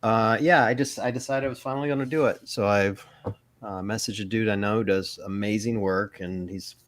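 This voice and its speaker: A man's voice: fairly low pitch, fairly even intonation, brisk. A middle-aged man from Mississippi chatting casually and rambling a bit as co-host of a live Q&A. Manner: rambling, casual, swears freely.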